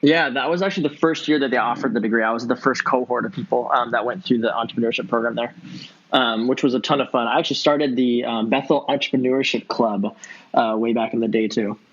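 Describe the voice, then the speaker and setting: A man in his thirties from Southern California, answering interview questions in a relaxed way, with plenty of ums and easy laughs. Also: mid-range voice, quick pace, highs cut off. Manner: relaxed, many ums and uhs, laughs easily. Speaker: podcast guest, entrepreneur.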